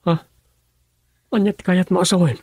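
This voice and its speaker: A man's voice: high-pitched, steady tone, moderate pace, gravelly, darkish tone, slightly rough, no echo, exaggerated voice. A man acting a lovelorn, earnest young sweetheart in a radio drama. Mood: hurt, pleading.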